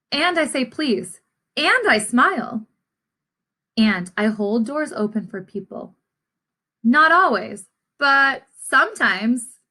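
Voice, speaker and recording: A woman reading as a storybook seed, a sullen male character trying to reform, in a deliberate, confessional way. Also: a little high, a bit bright, exaggerated voice.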